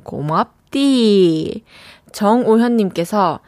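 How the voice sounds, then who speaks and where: A woman's voice: medium pitch, expressive, very slow, no echo. Chatty female radio DJ, dry studio sound. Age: young adult. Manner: chatty, warm reactions to listener texts. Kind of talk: radio show, reading listener messages. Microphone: studio mic.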